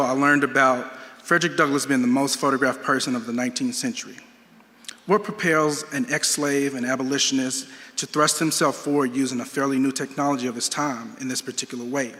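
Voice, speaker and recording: A man's voice: medium pitch, pushed and bright. Reflective man speaking in a big hall. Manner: measured, reflective, questioning. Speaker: artist giving a talk. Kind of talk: prepared talk about his art practice. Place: museum auditorium. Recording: long reverb of a large hall.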